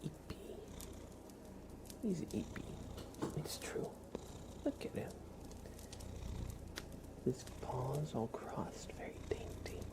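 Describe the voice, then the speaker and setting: Male ASMR streamer, whispered and gravelly, doting pet-owner chat, lilting delivery. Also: medium pitch, very animated, slow, pauses often. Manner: affectionate baby-talk to a pet cat. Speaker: ASMR livestreamer.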